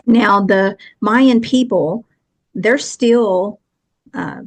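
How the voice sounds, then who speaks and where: Woman, fifties, thick Texan accent, earnest, chatty, mid-pitched. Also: drawn-out words. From Texas, USA. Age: 50s. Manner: earnest and chatty, long rambling answers. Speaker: guest author. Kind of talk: casual interview chat.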